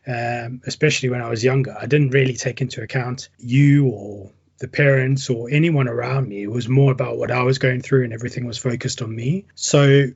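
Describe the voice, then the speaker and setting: Podcast host guy, a bit husky, kinda muffled sound, easygoing chat. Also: medium pitch. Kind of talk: casual interview. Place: England.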